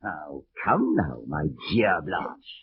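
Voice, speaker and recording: An actor playing a sly, villainous old aristocratic uncle in a theatrical, mock-courteous style of old radio drama. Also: male voice, low-pitched, wildly swooping pitch, takes it slowly, exaggerated voice. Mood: cold, imperious. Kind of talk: 1940s-1950s radio drama acting. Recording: muffled, hissy old radio recording.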